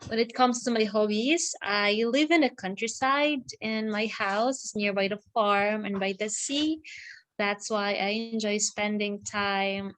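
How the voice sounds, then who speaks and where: A woman's voice: medium pitch, measured pace, fairly bright tone, no room sound. A woman in her twenties from the Philippines with a Filipino accent, an English teacher leading a casual online lesson, friendly and encouraging, often ending points with "right?". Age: 20s.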